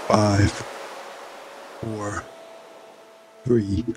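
A man with a fairly low voice leads a guided meditation as a scripted narration. He speaks very slowly, leaving long pauses between short instructional phrases. There is clear ambient noise behind his voice. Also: steady tone.

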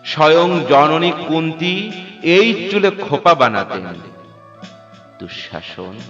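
Slow, echoing male dramatic poetry reciter. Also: fairly high pitch. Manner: theatrical, deliberate delivery swinging between hushed and forceful. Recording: echoey reverberant hall sound, dull, with music. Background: music.

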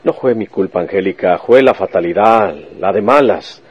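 Rustic Mexican lawman, low and rough-voiced, blunt and stubborn, for radio drama. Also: normal speed, lo-fi sound. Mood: sorrowful, earnest. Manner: folksy country speech full of rustic colloquialisms. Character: stubborn, vengeful rural Mexican detective, blunt and earnest. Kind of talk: Spanish-language radio serial acting.

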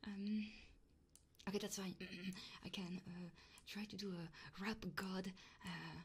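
Woman, soft husky breathy voice, frequent whispering, ASMR livestream chatting, playful casual manner, close microphone. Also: mid-range voice, fairly even intonation, takes it slowly. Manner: casual and playful, swearing freely. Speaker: live streamer. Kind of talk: ASMR chat stream, often whispering.

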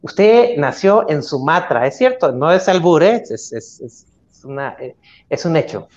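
A middle-aged man with a Mexican accent hosting a live interview over a video call, speaking somewhat haltingly. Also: a little high, lively intonation, darkish tone. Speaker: talk show co-host. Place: indoor room at home, video call. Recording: dull lo-fi video call audio.

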